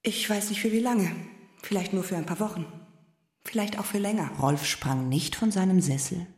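A woman's voice: mid-range voice, moderate pace, darkish tone, reverberant, lightly acted. An actress playing a restless, scornful unfaithful wife who provokes her husband in a German literary radio play, pointed and reproachful. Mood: provoking. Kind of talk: German literary radio play, dialogue and first-person narration.

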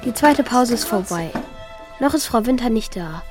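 A secretive school-age child , acted by a woman, tells the story in a children's radio play. The voice is high and youthful, with music running clearly underneath. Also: expressive, normal speed, natural voice. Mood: evasive, uneasy. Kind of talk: children's radio play, first-person narration and dialogue.

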